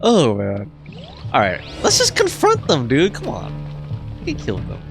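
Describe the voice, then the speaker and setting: Animated man commenting casually on a game. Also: medium pitch, a little slow, vocal fry, faint music behind. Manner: amused, slangy. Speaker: gaming commentator.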